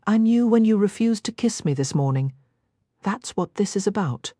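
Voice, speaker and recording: A woman voicing a flustered young gentlewoman who talks in a babbling, apologetic, tearful way. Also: medium pitch, expressive, normal speed, no room sound, clean studio sound, voice pushed up, natural voice. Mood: defiant.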